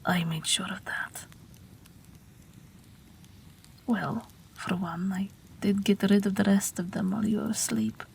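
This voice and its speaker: A woman playing a gentle, wry, protective night witch in an intimate audio roleplay, speaking softly and breathily, often near a whisper. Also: mid-range voice, fairly even intonation, creaky, a little hiss or hum, close to an everyday voice. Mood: quietly resolute. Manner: slow, soft, tender reassurance.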